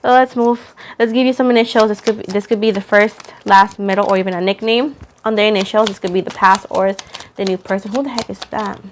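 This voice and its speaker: A woman hosts a tarot reading in a casual, talkative way. Her voice sits at a medium pitch. She rambles, pokes fun at herself and repeats phrases to drive a point home. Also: fairly even intonation, brisk, a little hiss or hum. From Puerto Rico. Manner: rambling, self-deprecating, repeats phrases for emphasis. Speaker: tarot reader, host.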